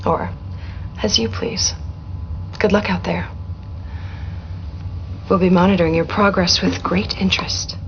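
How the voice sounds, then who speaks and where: A woman's voice: fairly low pitch, fairly even intonation, measured pace, long pauses. An actress playing a poised, calculating corporate spokeswoman in a video game, speaking in a polished, composed way. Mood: sly, composed. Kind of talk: scripted video game briefing. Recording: dull audio with faint background noise.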